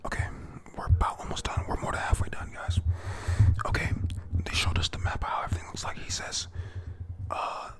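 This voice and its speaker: A person whispering an informal, halting recap of a comic chapter for viewers, breathy and prone to casual swearing. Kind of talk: whispered read-through and recap of a manga chapter.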